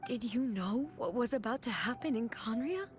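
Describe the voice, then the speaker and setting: A woman voicing a stern, reserved goddess ruler in a game, speaking quietly and with restraint. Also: on the high side, expressive, normal speed, close to an everyday voice. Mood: hushed. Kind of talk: game cutscene voice acting. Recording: game audio with music.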